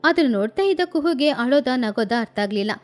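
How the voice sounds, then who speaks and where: A woman narrating a children's story in an animated storytelling style. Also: high-pitched, a little fast.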